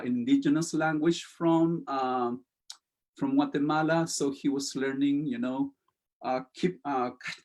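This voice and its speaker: A man with a Spanish accent, a poet and interview guest, speaking slowly and hesitantly with many pauses and fillers, and reading poems aloud. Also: fairly high pitch. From Chile. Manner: slow, hesitant, many fillers, soft-spoken.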